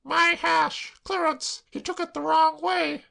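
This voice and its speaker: A reader voicing a distraught young woman who sobs and wails in a high, breathless voice. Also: steady tone, takes it slowly, gravelly, pushed and bright, heavily acted. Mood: tearful. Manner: sobbing, high-pitched and breathless.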